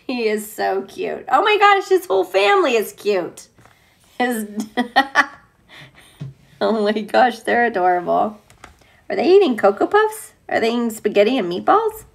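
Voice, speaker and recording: A woman teacher reading a picture book aloud to young children, expressive and playful, with gushing, chatty asides. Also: fairly high pitch, measured pace, vocal fry, pauses often.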